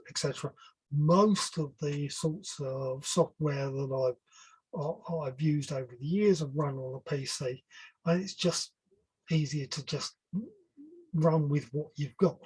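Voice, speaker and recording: An older man speaking informally as an engineer guest on a webinar, halting and often rephrasing himself. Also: a little high, a little mellow, slightly rough, low-quality recording. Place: online video call.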